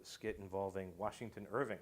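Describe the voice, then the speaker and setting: A man in casual talk-show conversation as a radio show creator, often pausing and restarting his phrases. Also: low voice, fairly even intonation.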